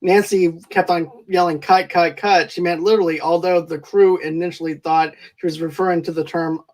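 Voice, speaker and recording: A man's voice: a little high, little pitch movement, fairly quick, a little mellow. Chuckly man hosting a movie podcast. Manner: rambling, self-correcting, chuckling. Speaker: podcast host. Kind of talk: casual movie review chat.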